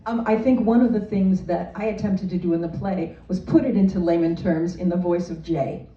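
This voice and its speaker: Mellow-voiced woman playwright answering questions. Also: fairly low pitch, steady tone, a little fast. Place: theater auditorium. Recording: echoey, distant, dull room recording.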